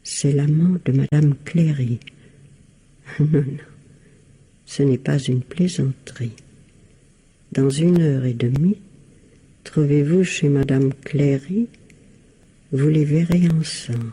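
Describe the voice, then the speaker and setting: A scheming middle-aged woman in a vintage radio thriller. Her voice is low, dark and husky. She speaks with poise, insinuating and giving orders under a show of motherly kindness. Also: slow, long pauses, heavily acted. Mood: cool, secretive. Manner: poised, insinuating, bossy, feigning motherly concern. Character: scheming, falsely kind middle-aged lady who manipulates others. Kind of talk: vintage French radio mystery acting.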